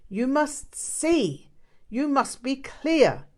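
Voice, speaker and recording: A woman's voice: high-pitched, sing-song, drawn-out words, darkish tone, pitched above the performer's own voice, full character voice. A woman voicing a stern, probing old male Buddhist master in an audiobook, with blunt, emphatic short sentences. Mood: sternly scolding. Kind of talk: audiobook character dialogue.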